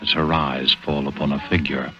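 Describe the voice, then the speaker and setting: An ominous male narrator with a very deep voice and deliberate, dramatic delivery. It sounds like a 1940s radio thriller, muffled, with music behind him. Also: flat delivery, sharp tone, exaggerated voice. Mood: ominous, suspenseful. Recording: dull vintage radio broadcast recording.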